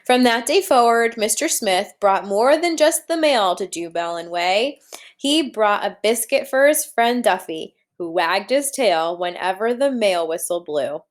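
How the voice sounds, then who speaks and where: A woman's voice: mid-range voice, animated, measured pace. Woman reading a story aloud to children. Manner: instructive, addressing children directly, posing questions. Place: indoors at a computer.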